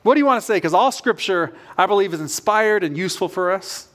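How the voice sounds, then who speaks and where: A man's voice: sits high, animated, fast, a bit bright. A man in his forties preaching a sermon as a pastor in an informal, conversational storytelling manner. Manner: informal, self-questioning storytelling.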